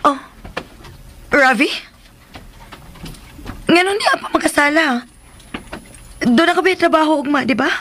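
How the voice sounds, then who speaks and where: A woman's voice: sits high, unhurried, long pauses, fairly bright tone, a little hiss or hum. An actress with a Filipino accent playing a coy, cautious young working woman in a radio drama. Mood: hesitant, questioning.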